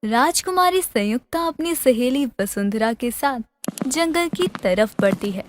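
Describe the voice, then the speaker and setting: A woman's voice: high-pitched, lively intonation, a little slow, noisy background. A woman storyteller reading a scripted fairy-tale narration.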